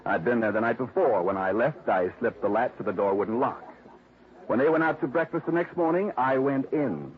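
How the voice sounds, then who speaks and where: An actor playing a scheming ad man in a 1950s radio drama, talking slow, cool and menacing. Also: medium pitch, natural voice. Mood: cool menace. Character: scheming male ad man, smooth and cold. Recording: tinny 1950s radio broadcast.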